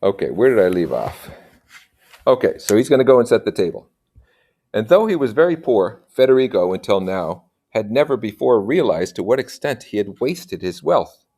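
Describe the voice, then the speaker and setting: Male story reader, fairly low voice, narrating with informal commentary and questioning asides. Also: big pitch swings, normal speed, dark tone. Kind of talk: story reading with casual asides.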